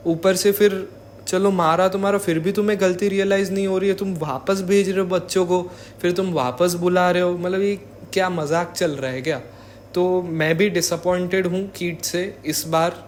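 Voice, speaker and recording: A young man talks through his commentary informally. His voice sits a little high and stays quite monotone, with English words dropped in. There is faint noise behind him. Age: young adult. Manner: code-switches with English words. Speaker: vlogger.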